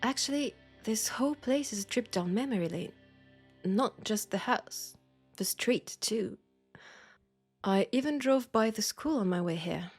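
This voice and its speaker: A woman with a French accent acting a nostalgic, playful old school friend in an intimate, casual audio roleplay, with an unhurried, teasing manner. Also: fairly high pitch, lively intonation, breathy edge, soft background music, heavily acted. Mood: nostalgic, wistful. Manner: unhurried, teasing, breaking into soft laughs.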